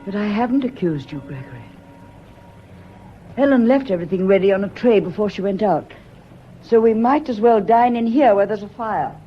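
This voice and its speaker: A woman's voice: mid-range voice, animated, moderate pace, natural voice. An actress playing a wounded, intense lady's companion with a dramatic, reproachful manner in a period radio melodrama. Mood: strained, attentive. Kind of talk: period stage melodrama, radio play acting. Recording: dull, noisy old radio recording.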